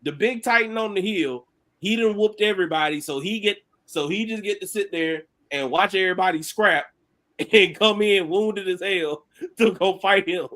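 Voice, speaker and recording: A man in casual sports debate on a podcast, animated and slangy, cursing and laughing as he argues. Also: high-pitched, moderate pace. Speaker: podcast panelist.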